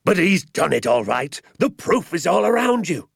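A man voicing a pompous, furious landowner who shouts and rants. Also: fairly low pitch, brisk, vocal fry, husky, dry recording, studio quality, heavily acted. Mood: outraged. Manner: shouting, ranting, insulting.

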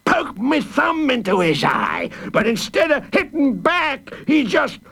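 A man's voice: very animated, a little slow, bright, forceful tone, slightly rough, heavily acted. A man voicing a blustering fanged villain who was once a wrestler and now runs a prison, with growls and stammers. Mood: dramatic. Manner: growling, stammering with ums, bursts of booming laughter. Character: blustering hooded wrestler villain warden with fangs.

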